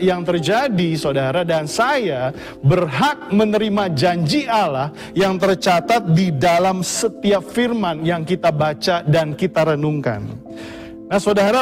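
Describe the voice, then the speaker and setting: High-voiced man preaching a sermon. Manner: preaching to the congregation, often calls them brothers and sisters. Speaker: pastor.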